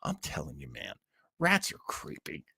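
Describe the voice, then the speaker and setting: A man hosting a live show in casual chat, reading out and answering listener comments in a halting, rambling way with jokey asides. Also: rumbling low, wildly swooping pitch, vocal fry, pushed and bright, breathy edge, no room sound, studio quality.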